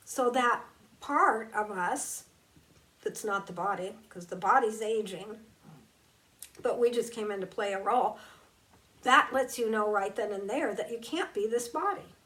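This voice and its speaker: A woman gives a reflective spiritual talk and leans on key words at an unhurried pace. Her voice sits a little away from the microphone. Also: medium pitch, fairly bright tone, distant mic, poor audio. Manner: slow, emphatic and conversational, drawing out key words. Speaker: spiritual teacher.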